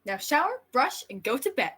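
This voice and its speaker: A woman's voice: high-pitched, sing-song, normal speed, fairly bright tone, husky, full character voice. A woman playing a bossy, nagging, commanding wife in a radio play. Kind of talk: radio theatre acting.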